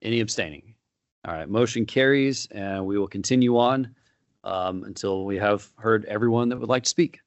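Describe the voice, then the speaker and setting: A man's voice: on the low side, no echo. A man chairing a board meeting, speaking informally with frequent fillers and restarts. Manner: informal, halting, with many fillers.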